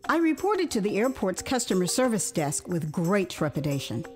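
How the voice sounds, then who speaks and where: An older American woman narrates her own story in an expressive, theatrical first-person style with amused asides. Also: mid-range voice, normal speed, soft background music. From Houston, Texas, United States. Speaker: author reading her own memoir.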